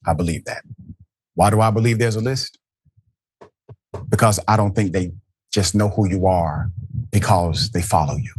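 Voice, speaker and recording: Lower-voiced guy hosting a politics show, punchy rhetorical delivery, dry close sound. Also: moderate pace, long pauses, husky, dry recording. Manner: emphatic, rhetorical questions. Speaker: show host. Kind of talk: political commentary.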